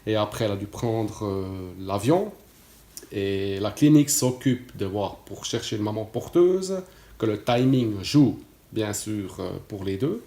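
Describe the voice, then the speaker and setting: A man in his late forties from Switzerland speaks with a Swiss French accent, a somewhat low voice and a halting manner full of fillers and false starts. He tells his story casually as a client testimonial. The recording has a noticeable room echo. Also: expressive, dark tone. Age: 40s. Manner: hesitant, many fillers and restarts.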